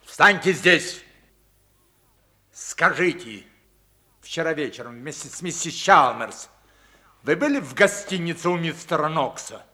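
A forceful, bright-edged older patriarch in a radio drama. He interrogates with clipped commands, and his pitch moves dramatically from low to high. He sounds used to being obeyed. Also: animated, a little slow, long pauses, heavily acted. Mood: stern, interrogating. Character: domineering older wealthy father interrogating his household. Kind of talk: radio play acting.

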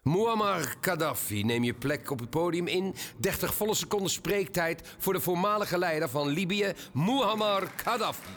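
A man's voice: sits high, lively intonation, normal speed, hardly any pauses, a bit bright, slight background noise. A man playing a showy, ceremonious host of a game show in the afterlife, in a satirical radio play. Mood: commanding. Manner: theatrical, ceremonious announcing.

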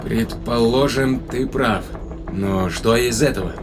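A man's voice: mid-range voice, slow, noisy background, natural voice. A man acting a skeptical, defiant spaceship crewman in a science-fiction audio thriller, speaking in a probing, sardonic way. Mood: guarded. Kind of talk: dramatized sci-fi thriller audio play. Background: dramatic music.

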